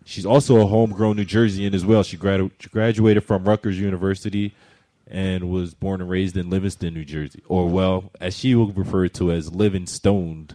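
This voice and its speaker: A man hosting a radio talk show, introducing a guest in a relaxed, halting way. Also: deep voice. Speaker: radio host.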